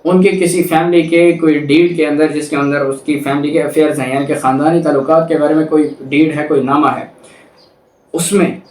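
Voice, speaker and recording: A man's voice: fairly high pitch, steady tone, fairly quick, a little mellow. Man, Pakistani accent, lecturer, methodical step-by-step explaining, reading aloud, roomy echo, mic at a distance. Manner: methodical, repetitive step-by-step explaining, switching between Urdu and English. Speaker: law lecturer. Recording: echoey room, distant microphone.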